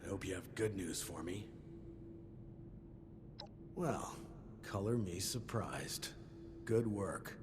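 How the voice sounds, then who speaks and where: An actor voicing a composed male vault overseer in a video game, measured and businesslike. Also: low-pitched, background noise.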